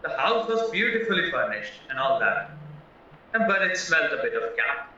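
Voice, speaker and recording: A naive, polite young husband acted by a man in an audio play, chatty and earnest as he explains himself. His voice is bright, sharp and lively, heard in a heavily echoing space with a distant mic. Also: a little high, normal speed, slight background noise, heavily acted. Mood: candid, chatty. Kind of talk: stage-style audio play acting. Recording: very echoey, distant, muffled, music behind.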